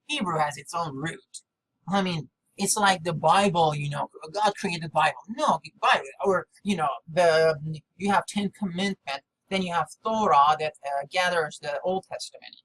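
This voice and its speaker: A non-native English speaker giving a rambling, unscripted monologue in a halting, fragmented and repetitive way, jumping from thought to thought. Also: medium pitch, a bit bright. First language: not English. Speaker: video maker. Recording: clearly noisy background.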